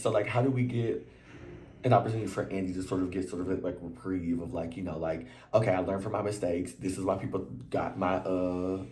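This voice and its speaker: Recap host, male, deep voice, a bit worn out, chatty and animated, echoey room. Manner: animated and chatty, slangy, leaps into high exclamations. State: voice a little worn. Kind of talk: casual chat to camera.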